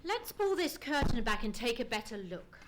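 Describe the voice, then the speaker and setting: A woman playing a keen, methodical male detective in an old-time radio play, musing and deliberate, humming as she ponders. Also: a little high, very animated, noisy background. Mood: musing, intrigued. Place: live theatre stage performance.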